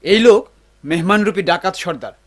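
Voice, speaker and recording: A man playing an anxious, excitable, inexperienced young son in a cartoon, full of questions. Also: high-pitched, a little fast, background noise, close to an everyday voice. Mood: alarmed. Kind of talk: animated cartoon dub acting.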